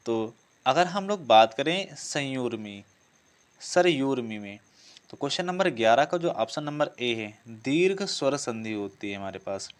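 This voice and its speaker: A man's voice: mid-range voice, lively intonation, a little slow. A man giving an instructional lesson, working through practice questions and repeating each before answering it. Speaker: teacher presenting exam practice questions.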